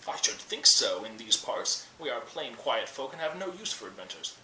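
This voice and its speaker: A man's voice: medium pitch, sing-song, normal speed, bright, forceful tone. Man reading as a fussy, emphatic little hobbit. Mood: disapproving. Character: fussy, respectable middle-aged male hobbit, polite but unadventurous. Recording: roomy, distant webcam mic with noise.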